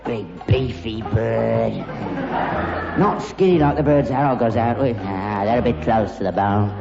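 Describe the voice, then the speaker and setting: A man's voice: fairly low pitch, a little slow, creaky, loud music behind the voice. An actor playing a grumbling, plaintive old rag-and-bone man with a London accent in a 1960s British radio sitcom. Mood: lonely grumbling. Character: whining, wheedling, mischievous old rag-and-bone man, needy father. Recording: dull archive radio recording with loud background.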